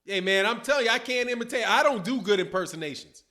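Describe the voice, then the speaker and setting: A man's voice: sits high, lively intonation, fast, sharp tone. A middle-aged man with a Chicago accent, hosting a boxing livestream in loose, slangy casual chat while reading viewer comments. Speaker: boxing channel host. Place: dim room at home, indoors. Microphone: webcam, seated at desk.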